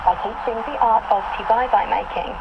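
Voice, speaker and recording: A woman's voice: a little high, brisk. A woman narrating a scripted news report as a radio reporter, through muffled and very noisy shortwave.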